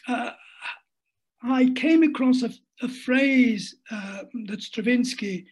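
A man's voice: very high-pitched, slow, slightly rough. An older man speaking in an interview over a video call from home, thoughtful and hesitant, with frequent restarts. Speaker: interviewee, writer. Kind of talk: reflective conversational interview. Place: home room at a desk. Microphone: laptop webcam on a video call.

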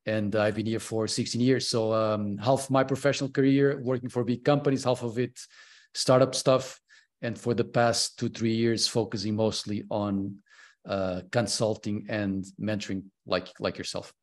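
A man's voice: lowish voice, fairly even intonation, moderate pace. A man in his early forties from Portugal, a podcast guest talking casually and hesitantly, with many fillers and restarts, over a video call. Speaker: podcast guest, consultant and mentor. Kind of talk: casual interview chat. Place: home room, on a video call.

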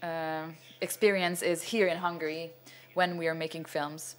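Older man, halting lecture, fillers, stand mic on a cinema stage. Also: a little high, flat delivery, soft background music. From Venezuela. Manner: hesitant, many fillers and restarts, gestures broadly. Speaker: cinematographer giving a masterclass. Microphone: stand microphone.

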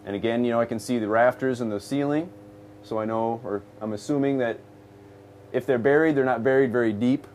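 A man in his thirties, an energy auditor, explaining an on-site home inspection in a relaxed way with many fillers, recorded in a house basement. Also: mid-range voice, fairly quick, a little hiss or hum. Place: basement crawl space and attic of a house.

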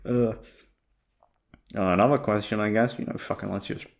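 A man with a deep voice, sleepy, rambling casually to his listeners in an offhand, hesitant, sweary way. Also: fairly even intonation, moderate pace, creaky. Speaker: audio creator talking to listeners.

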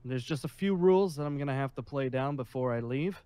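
A man's voice: medium pitch, warm tone, clear, resonant tone, no room sound, lightly acted. Man playing an officious, awkward safe-haven leader. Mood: self-assured, conciliatory. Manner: formal and rule-minded, with hesitant pauses and sighs. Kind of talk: improvised Minecraft zombie roleplay.

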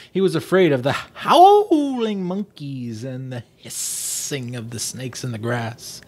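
A man in his thirties reading a children's book aloud expressively, with casual, chatty asides, close to a desktop microphone. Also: mid-range voice. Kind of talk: storybook reading with casual commentary. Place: small plain room indoors.

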